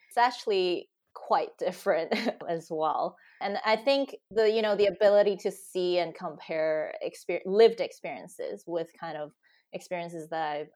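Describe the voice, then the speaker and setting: Woman, from Hong Kong, interview guest, lower voice, thoughtful, filler words. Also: a little mellow. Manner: thoughtful, many fillers like "you know" and "um". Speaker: guest researcher. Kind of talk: podcast interview.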